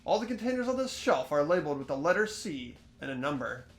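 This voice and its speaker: Man playing an earnest, high-voiced teenage boy. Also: very animated, soft background music. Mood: pondering. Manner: thinking aloud, earnest, deliberate observations. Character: earnest, anxious teenage boy detective protagonist. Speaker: host of the playthrough. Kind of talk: fan-dubbed visual novel game narration.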